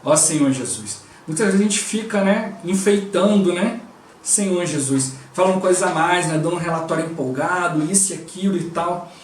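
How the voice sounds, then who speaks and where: A man's voice: high voice, normal speed, a little hiss or hum. A man with a Brazilian Portuguese accent giving a devotional sermon, talking conversationally and often breaking in with short invocations of the Lord. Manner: conversational, constantly interjects "O Lord Jesus" and "né". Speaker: preacher. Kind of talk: devotional preaching. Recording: roomy and distant from the mic.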